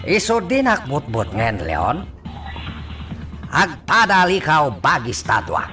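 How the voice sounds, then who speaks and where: A man's voice: a little high, huge pitch swings, slow, distant mic, over music, full character voice. A man acting as a scheming, menacing businessman in an Ilocano radio drama. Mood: smug, menacing.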